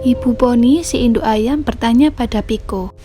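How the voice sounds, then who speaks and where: A woman's voice: on the high side, moderate pace, music in the background. A young adult woman narrating a children's story. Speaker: storyteller, university student.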